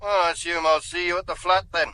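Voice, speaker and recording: A local police inspector in a radio play, measured and faintly barbed. His voice is slightly high and sharp-edged. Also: flat delivery, low-quality recording. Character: local police inspector on the phone, dryly pointed. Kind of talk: radio drama acting.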